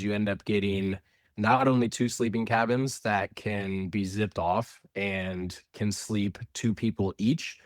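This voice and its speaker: A man's voice: on the low side, dry recording, studio quality. A man from Indianapolis, answering interview questions about his family business in an explanatory way with frequent restarts. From Indianapolis, USA. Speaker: company representative.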